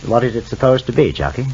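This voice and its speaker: An actor playing a stern, formal father in a 1950s radio soap, questioning in a measured, halting way. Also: mid-range voice, darkish tone. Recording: dull, noisy 1950s radio recording.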